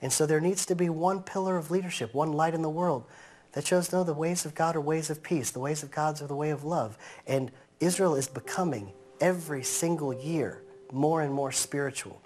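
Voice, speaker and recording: A man in his thirties, raised in the United States, speaking earnestly in a sit-down interview on a living-room couch and slowing down to stress his points. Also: fairly high pitch, a little mellow.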